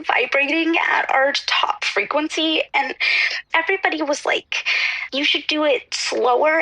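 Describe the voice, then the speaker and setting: High, bright, guileless female caller. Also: no echo, heavily acted, rough recording. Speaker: caller to advice show. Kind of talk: scripted comedy audio drama.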